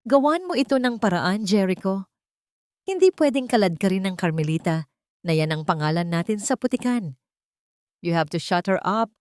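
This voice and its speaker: A woman's voice: medium pitch, dry recording, clean studio sound, natural voice. Woman voicing a haughty, scornful old matriarch. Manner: scornful, demanding. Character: haughty old matriarch, furious and afraid of scandal.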